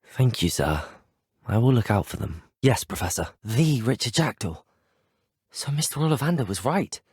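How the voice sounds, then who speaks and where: Teenage male wizard student, British accent, well-spoken, inquisitive, polite, animated melody, game dialogue, dry close sound. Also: mid-range voice, normal speed, breathy edge, dry recording. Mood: earnest, polite. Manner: courteous, earnest, well-spoken. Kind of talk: scripted video game dialogue lines. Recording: dry, close studio voice recording.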